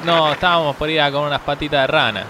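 A man playing a laid-back, absurd Argentine ambulance crewman in a comic radio sketch, casual, slangy and deadpan. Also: a little high, racing, noisy background, lightly acted.

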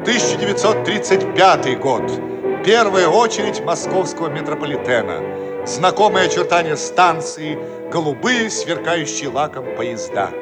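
A man with a high-pitched voice narrates a radio play like a solemn announcer. His delivery is declamatory and scripted, with loud music playing behind him. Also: big pitch swings, normal speed.

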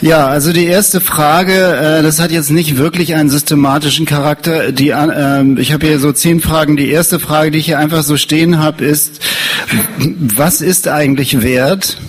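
A man from Hamburg moderating a panel discussion in front of an audience, talking haltingly and filling pauses with many ums. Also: a little high, fairly even intonation, husky. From Hamburg, Germany. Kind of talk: hesitant live moderation. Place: meeting room with an audience. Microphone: handheld microphone passed around.

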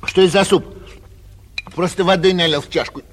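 Vain young gentleman, high pitch, whining indignation, theatrical 1940s radio drama, reverberant old recording. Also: steady tone, brisk, a little hiss or hum. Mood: haughty, indignant. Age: young adult. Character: vain, petulant young gentleman traveller, blustering yet cowardly.

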